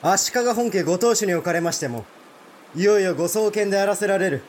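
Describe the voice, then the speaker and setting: Casting: proud, outspoken samurai male actor, notably high voice, formal archaic delivery, period audio drama, faint background noise. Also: brisk, lightly acted. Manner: formal archaic warrior speech, forthright. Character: blunt, proud provincial samurai lord, openly indignant. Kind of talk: Japanese historical audio drama.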